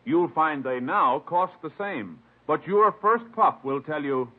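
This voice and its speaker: An old, narrow-band radio recording of a man with a somewhat high voice. He announces in a formal 1950s manner, measured and emphatic. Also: expressive. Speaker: program and commercial announcer.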